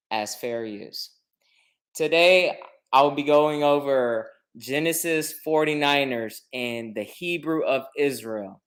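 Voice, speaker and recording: A man in his forties ranting defensively in an online video, repeating himself and talking down to a rival. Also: mid-range voice. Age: 40s. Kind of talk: angry rant video.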